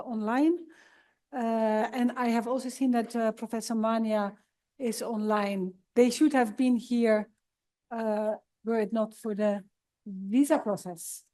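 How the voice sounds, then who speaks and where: Woman, conference host handing over, halting, mellow, fairly high. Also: fairly even intonation. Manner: halting, many fillers. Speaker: conference organizer introducing moderators. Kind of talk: conference introduction.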